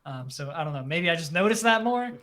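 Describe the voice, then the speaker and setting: A man with a slightly high voice co-hosts a casual esports show. He is easygoing and jokes as he explains his picks. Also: lively intonation, fast, pushed and bright. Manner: easygoing, joking. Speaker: podcast co-host.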